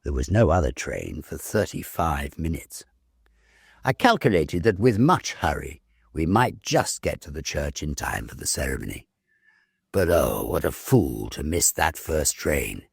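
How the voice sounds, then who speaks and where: A British man reading a story aloud in an expressive, theatrical, measured literary narration style. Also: very deep voice, creaky, slightly rough, no room sound, studio quality. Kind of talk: expressive dramatic audiobook narration.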